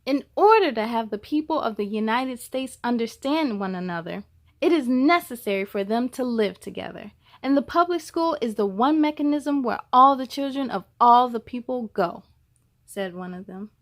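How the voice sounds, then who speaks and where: A woman with a fairly high voice reads a picture book aloud for story time. Her delivery is deliberate and expressive, placing emphasis on key words as a storyteller would for young listeners. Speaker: host of a children's read-aloud story time.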